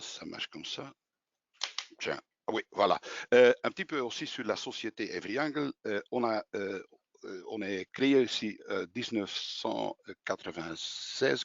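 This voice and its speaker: A man's voice: fairly low pitch, vocal fry, dry recording. A man in his sixties with a Flemish accent, a native Dutch speaker presenting in French at a webinar, often hesitating as he searches for words. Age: 60s. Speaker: software company co-founder presenting. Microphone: video call. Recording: dull, dry webinar audio.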